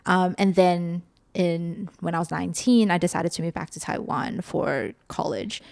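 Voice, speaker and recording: Podcast co-host: young woman from South Africa, casual, talkative, slightly fry-laden delivery. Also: on the low side, steady tone, normal speed, a little mellow, no room sound. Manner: chatty, filler-heavy, ends points with "right?". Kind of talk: casual chat.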